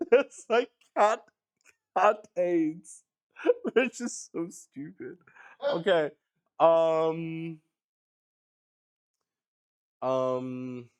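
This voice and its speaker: A man's voice: on the high side, lively intonation, very slow, pauses often, clean tone. A man hosting a casual livestream, thinking aloud and rambling in a hesitant way. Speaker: streamer hosting a solo tabletop roleplay stream. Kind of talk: casual improvised livestream chat.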